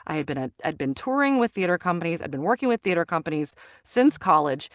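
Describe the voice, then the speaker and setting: A woman's voice: on the low side, big pitch swings, fast, dry recording, clean studio sound. A middle-aged woman talking casually as an interview guest, telling stories and acting out the lines she quotes. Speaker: guest, actor and audiobook narrator.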